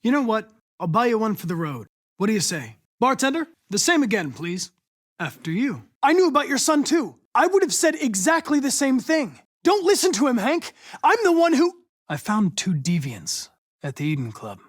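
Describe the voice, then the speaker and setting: An actor playing a polite, earnest young male android police investigator in a video game, speaking in precise, formal, measured diction. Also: extremely high pitch, huge pitch swings, dry recording, clean studio sound, exaggerated voice. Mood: friendly, polite, then urgent, insistent, then formal, serious. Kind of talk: scripted video game dialogue.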